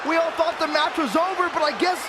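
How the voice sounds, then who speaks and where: Upbeat amazed wrestling color man, exclaiming, very high voice, loud noise behind. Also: steady tone, quick pace, over a noisy crowd. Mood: amazed, animated. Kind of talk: pro wrestling commentary. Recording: very noisy arena background.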